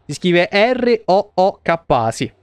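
A man's voice: sits high, sing-song, slow pace, clear, resonant tone, soft background music. A man in his thirties with an Italian accent doing casual, colloquial live gameplay commentary, swearing now and then. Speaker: video game streamer. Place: small indoor room.

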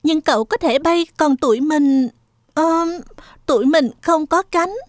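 Woman voicing a high-voiced, sweet cartoon big sister. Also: measured pace, no room sound, clean studio sound. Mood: amazed, eager. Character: curious, kind big-sister girl eager for adventure. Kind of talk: children's fairy-tale cartoon voice acting.

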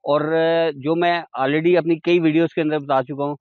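A middle-aged man with a Pakistani accent presents a site as an estate agent, informally explaining and pointing out features. His voice sits a bit high. The recording is dry and slightly dull, with no echo around the words. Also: steady tone, moderate pace. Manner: explanatory, repeatedly pointing things out. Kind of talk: informal sales walk-through. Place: outdoors on a forested hillside road.

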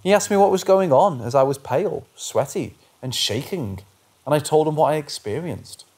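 Man narrating scary stories, slightly high voice. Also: lively intonation, normal speed, darkish tone.

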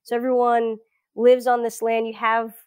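A woman's voice: on the high side, completely flat delivery, normal speed, darkish tone, clear, resonant tone, dry recording. A woman in her thirties from South Florida, chatting as a guest in a casual interview, with many fillers and restarts. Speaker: guest researcher.

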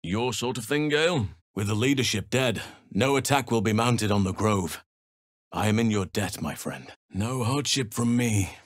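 A man plays a solemn, gentle druid healer for a fantasy video game. His voice sits on the low side and is recorded very dry. He phrases things formally and with old-world gravity, like a wise elder of the wilds. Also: no room sound, close to an everyday voice. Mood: grave, reflective. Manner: measured, formal, archaic phrasing.

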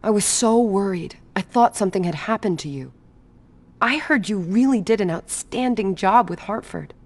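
A woman's voice: medium pitch, expressive, moderate pace. A woman playing an anxious, caring friend in a video game. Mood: worried, warm.

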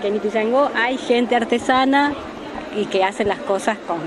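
A woman's voice: on the high side, normal speed, noisy background. A woman, an artisan vendor speaking earnestly and with energy in an interview. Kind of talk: casual interview. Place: large indoor fair hall. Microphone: handheld mic. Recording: crowd chatter behind.

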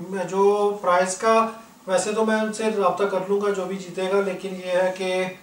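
A man with a Pakistani accent giving an informal, explanatory product demonstration as a shop seller. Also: high voice. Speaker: shop seller, video host. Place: indoor room, goods spread on floor. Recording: roomy, distant mic, faint noise.

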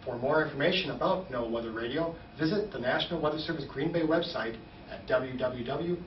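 A man in his forties giving a measured, formal, scripted informational presentation as a weather service spokesman. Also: medium pitch. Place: small indoor operations room, standing at a podium. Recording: roomy, slightly dull, distant, faint noise.

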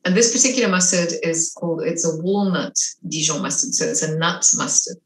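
A woman's voice: on the low side, brisk. A middle-aged woman with a mixed French and English accent, chatting enthusiastically through a cooking demonstration in her home kitchen on a video call. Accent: French and English mix. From half French, half English. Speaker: cooking instructor. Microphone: video call webcam. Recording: echoey kitchen with faint noise.

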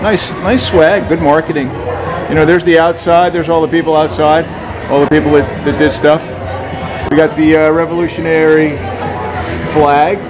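A man's voice: on the high side, little pitch movement, moderate pace, music in the background, crowd noise. An older man from New Jersey chatting casually in a rambling, upbeat way, filming himself at arm's length while walking from a street into a brewery. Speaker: vlogger. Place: outdoors on a town street, then inside a large brewery hall. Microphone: handheld camera at arm's length.

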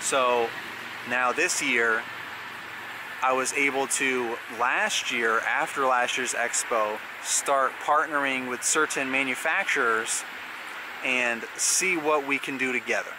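A man in his thirties giving a relaxed, conversational event announcement outdoors in a wooded park, filmed by a handheld camera held close to his face. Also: medium pitch, slow, noisy background. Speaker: event organizer. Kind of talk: casual vlog announcement.

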